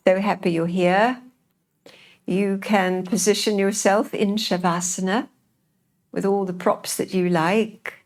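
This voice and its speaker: Slow, low-voiced woman guiding relaxation. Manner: soothing, gentle, unhurried guiding with pauses. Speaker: yoga nidra teacher. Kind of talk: guided relaxation, meditation instruction.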